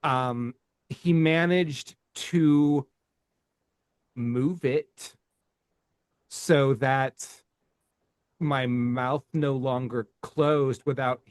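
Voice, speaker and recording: A man co-hosting a podcast, telling a story in casual chat, laughing often and talking haltingly. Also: mid-range voice, fairly even intonation, smooth, dry recording, studio quality. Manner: laughs while telling stories, halting and profane. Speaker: podcast co-host.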